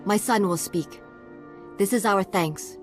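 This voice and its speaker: A woman's voice: medium pitch, slow pace, natural voice. A woman voicing an earnest, solemn village mother in a video game. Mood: grateful, solemn. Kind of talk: video game character acting. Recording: game music behind voice.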